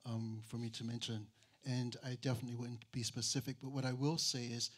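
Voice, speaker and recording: A man in his fifties talking in a measured way as a stage panelist, with a handheld microphone. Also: mid-range voice, level tone. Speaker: writer on a panel. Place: museum auditorium stage.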